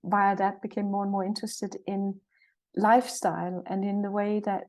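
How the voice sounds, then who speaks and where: A woman's voice: mid-range voice, level tone, moderate pace, darkish tone. A woman in her sixties from Denmark with a Danish accent, chatting cheerfully as a guest and thinking aloud while she speaks. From Jutland, Denmark. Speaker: guest, naturopath and herbalist. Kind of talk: conversational interview.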